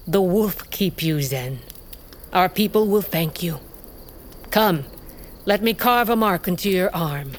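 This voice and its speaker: Low-voiced, commanding warrior queen. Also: lively intonation, a little hiss or hum. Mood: measured, imperious. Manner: imperious, measured. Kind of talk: fantasy audio drama.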